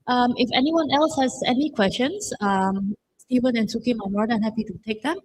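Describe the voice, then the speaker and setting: A fairly high-voiced woman moderates the event. She gives polite, brisk announcements and invites questions. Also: a little mellow, a little hiss or hum, low-quality recording.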